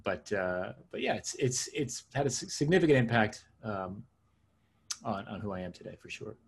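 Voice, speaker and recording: A man's voice: fairly low pitch, very animated, moderate pace, husky. A middle-aged man from Israel and New Jersey, a native Hebrew speaker, answering interview questions conversationally and reflectively, repeating phrases for emphasis. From born in Israel, raised in suburban New Jersey. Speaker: interview guest, tech consultant and coach.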